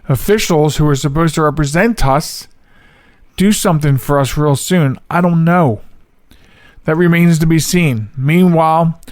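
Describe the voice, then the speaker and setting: A man's voice: fairly high pitch, clear, resonant tone, no echo, clean studio sound. An American man hosting a podcast alone, chatting casually in a rambling way and correcting himself as he goes. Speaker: podcast host. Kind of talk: casual solo podcast chat.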